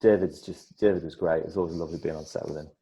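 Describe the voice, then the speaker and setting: A middle-aged man, an actor, telling stories in a casual interview, lively and full of restarts. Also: very deep voice, quick pace, highs cut off, slight background noise. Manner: animated storyteller, many restarts and repeated words. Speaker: actor guest.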